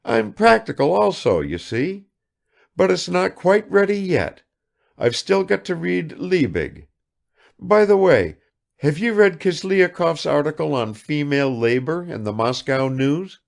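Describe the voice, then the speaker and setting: A man's voice: on the high side, very animated, gravelly, voice pushed up, heavily acted. A man reading aloud and voicing an affected, chattering provincial woman who fires off questions without waiting for answers. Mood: gushing chatter. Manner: gushing, rapid-fire questions.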